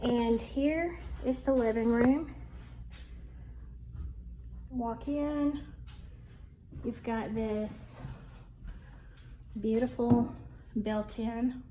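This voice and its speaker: Slow-paced, higher-voiced woman vlogger. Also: warm tone, a little hiss or hum, rough recording. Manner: gushing, halting, conversational. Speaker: vlogger giving a house tour. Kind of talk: casual narrated vlog.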